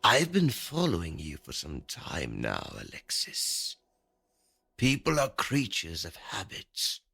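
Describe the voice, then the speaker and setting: Man acting as a sinister, raspy, forceful stalker. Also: deep voice, wildly swooping pitch, slow pace, full character voice. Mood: menacing, gloating. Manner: threatening, taunting. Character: sinister, menacing male stalker, cold and controlling captor. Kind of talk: audio thriller drama acting. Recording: music under the voice.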